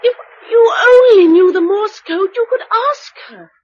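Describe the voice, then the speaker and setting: Little british girl in radio drama, super high, eager n plucky, muffled old recording. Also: unhurried, a little mellow. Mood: excited. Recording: dull, faintly noisy old radio recording.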